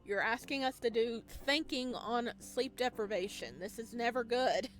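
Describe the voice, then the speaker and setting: A woman game streamer doing playthrough commentary and reading narration aloud in an expressive, reactive way. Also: fairly high pitch, moderate pace, soft background music.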